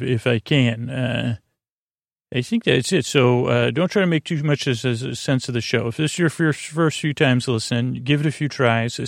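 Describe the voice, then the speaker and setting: A man with a creaky voice hosting a sleep podcast in a lulling, halting ramble, stumbling over words and drifting off on tangents. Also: mid-range voice, dry recording, clean studio sound. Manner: lulling, gentle. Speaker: podcast host.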